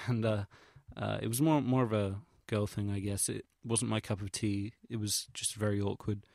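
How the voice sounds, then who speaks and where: Low-voiced young English man, casual and rambling. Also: fairly even intonation, moderate pace, creaky, a little mellow, dry recording, clean studio sound. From England. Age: young adult. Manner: rambling, hesitant, self-deprecating; informal, sweary, slangy. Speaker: vlogger. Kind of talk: casual retrospective audio log.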